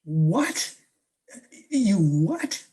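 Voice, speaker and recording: A woman's voice: fairly low pitch, very animated, extremely slow, warm tone, full character voice. A woman acting a prying, incredulous close friend in a conversational comic one-act, with teasing, probing questions. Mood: shocked.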